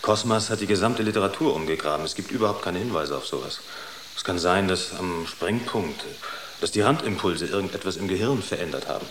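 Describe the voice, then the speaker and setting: A man playing a level-headed space crewman with a low voice. He explains things soberly and with care, in a roomy radio drama sound with clear noise. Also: natural voice. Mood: concerned, reasoning. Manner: measured, explanatory. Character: sober, reasoning male spaceship crew member planning. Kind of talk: German radio drama acting.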